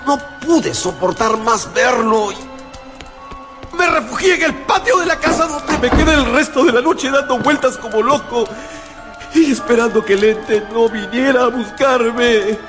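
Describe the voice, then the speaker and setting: An actor playing a haunted, feverish scientist in a radio drama, confessing in an overwrought, halting, theatrical way. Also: male voice, very high-pitched. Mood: horrified, anguished. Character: haunted, feverish male scientist confessing his monstrous creation. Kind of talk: Spanish-language radio drama acting. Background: dramatic music.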